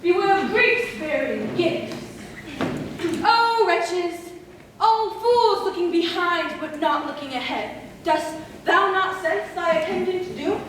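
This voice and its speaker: A woman's voice: very high voice, slow pace, a bit bright, exaggerated voice. A young woman acting a melodramatic, declamatory prophetess of doom in a live amateur stage comedy. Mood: portentous. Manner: declamatory, portentous. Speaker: actor in a school play. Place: large indoor stage in an auditorium. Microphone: distant camera microphone. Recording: echoey auditorium from far off, noisy.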